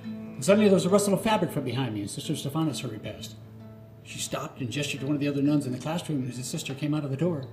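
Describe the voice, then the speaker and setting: High-voiced middle-aged man telling stories onstage. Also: racing, darkish tone, faint music behind. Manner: theatrical, earnest, phrase by phrase. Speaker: storyteller. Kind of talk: live autobiographical monologue, scripted narration. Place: live radio theatre stage. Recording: roomy live theatre, clear background noise.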